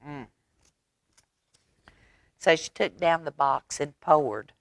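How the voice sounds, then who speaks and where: A woman reading a picture book aloud at storytime for young children, animated, with chatty asides to her listeners. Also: deep voice, takes it slowly, vocal fry, no echo.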